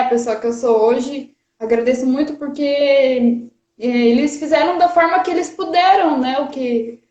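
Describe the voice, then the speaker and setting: Brief: high-pitched woman in her thirties with a Paraná Brazilian accent, nervous and chatty, on a muffled, echoey phone livestream. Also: darkish tone. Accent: Paraná Brazilian Portuguese. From Rio de Janeiro, Brazil. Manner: chatty, nervous, laughs often, many fillers. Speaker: guest. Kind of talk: casual livestream chat. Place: her parents' house, indoors. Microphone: phone on a charger. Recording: muffled, roomy livestream audio.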